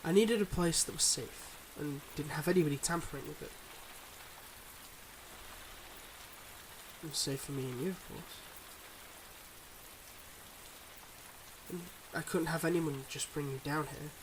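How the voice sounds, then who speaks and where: A man playing a suave, secretive mob boss boyfriend in an intimate ASMR roleplay, talking smoothly and teasingly to the listener. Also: a little high, fast, hardly any pauses, a little hiss or hum, natural voice, poor audio. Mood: earnest, guarded.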